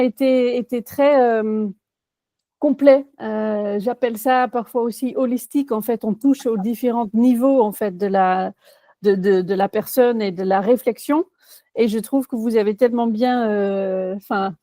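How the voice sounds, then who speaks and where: A woman's voice: a little high, dark tone, dry recording. A woman in her forties from the Netherlands with a Dutch accent, answering interview questions as a career coach, hesitant with many fillers and restarts.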